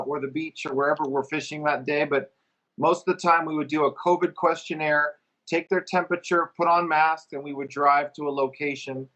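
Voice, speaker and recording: A man's voice: on the high side, a bit bright, low-quality recording. A middle-aged man talking casually as a musician guest, telling his story in a conversational way.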